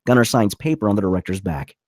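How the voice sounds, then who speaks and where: A man's voice: on the low side, animated, fast, dry recording, clean studio sound. A man reading scripted stage directions as the narrator of a screenplay.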